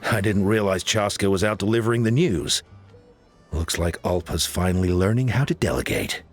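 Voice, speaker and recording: A man's voice: sits low, normal speed, soft background music. A man playing a wry, dry townsman in an English game dub.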